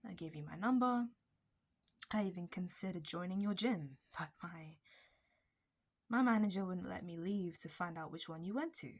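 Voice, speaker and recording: A woman playing an obsessive, possessive barista kidnapper in an ASMR roleplay, intimate and breathy, slipping between whispers and bitter intensity. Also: lowish voice, moderate pace. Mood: pleading, resentful. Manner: intimate, breathy dropping into whispers, nervous laughs and sudden bitter flares.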